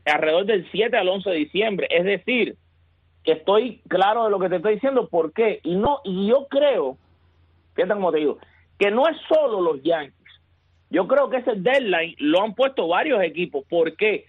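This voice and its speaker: A man talking baseball on a sports radio show, emphatic and building excitement as he argues his point. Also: sits high, moderate pace, slightly rough, no echo. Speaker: baseball analyst. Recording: tinny phone line.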